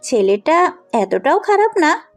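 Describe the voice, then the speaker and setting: A woman's voice: high-pitched, big pitch swings, takes it slowly, heavily acted. A woman acting a headstrong, compassionate young woman in an audio story, speaking bluntly and insistently. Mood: firm, concerned. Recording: music under the voice.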